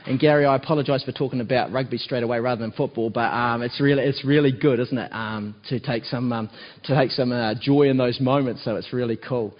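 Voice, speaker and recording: A man with a croaky voice, filling in as preacher with a chatty church sermon full of ums and tag questions. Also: medium pitch, steady tone, a little fast. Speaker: lay preacher standing in for the pastor. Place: church hall. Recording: dull recording with long church hall reverb.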